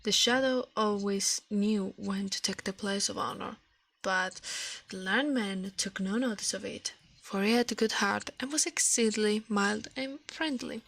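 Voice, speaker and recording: Woman doing asmr story reading, creaky voice, real dry sound, trips on words a bit. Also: mid-range voice, measured pace, fairly bright tone, no echo. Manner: reads with stumbles and self-corrections. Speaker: ASMR streamer.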